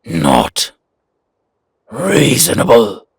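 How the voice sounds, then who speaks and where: A man playing a surly, hot-tempered werewolf in an audio drama, terse and grudging, with a raspy, gravelly growl. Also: mid-range voice, huge pitch swings, very slow, no room sound, clean studio sound, exaggerated voice. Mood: growling anger. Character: surly, hot-tempered male werewolf who hates vampires. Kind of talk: audio roleplay drama acting.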